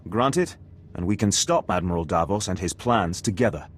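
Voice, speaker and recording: Stern low-voiced male aristocrat commander. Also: animated, moderate pace, slight background noise. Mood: grave, measured. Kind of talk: video game voice acting.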